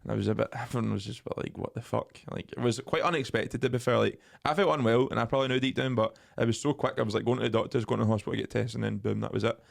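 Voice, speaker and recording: Fast-talking young man, gravelly. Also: mid-range voice. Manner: swearing, joking, animated storytelling. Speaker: podcast co-host. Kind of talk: casual chat.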